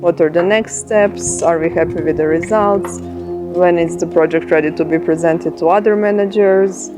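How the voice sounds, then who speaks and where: A woman in her thirties with a Croatian accent, a software engineer speaking in a documentary interview, thoughtful with pauses and restarts. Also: fairly low pitch, steady tone. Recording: music bed under the voice.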